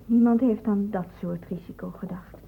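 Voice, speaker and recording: A woman's voice: mid-range voice, moderate pace, slightly rough. An actress playing an anxious, cooperative young woman in a radio play, speaking in a hesitant, subdued way. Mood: hesitant, explaining. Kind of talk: Dutch radio play acting. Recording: muffled old radio play recording.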